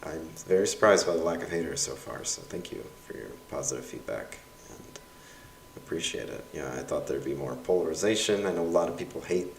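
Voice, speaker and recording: A man's voice: very deep voice, expressive, brisk, creaky, a little hiss or hum. A young adult man, a university instructor whose first language is Russian, reads aloud his course evaluations in a casual, wry, self-mocking way with lots of fillers. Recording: roomy sound from a distance.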